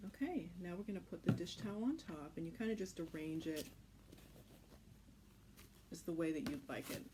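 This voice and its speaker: A woman's voice: fairly low pitch, expressive, moderate pace. A woman instructor casually explaining a children's craft step by step in an informal, reassuring way. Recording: clearly noisy home recording.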